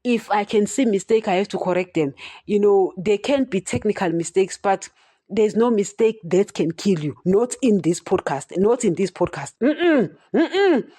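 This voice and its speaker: Female podcast preacher, stutters, blunt and repetitive, mellow tone, dry close sound. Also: medium pitch, lively intonation, normal speed, slightly rough, no room sound. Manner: direct, repeats "you understand?", addresses listeners as guys. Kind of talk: solo preaching podcast talk.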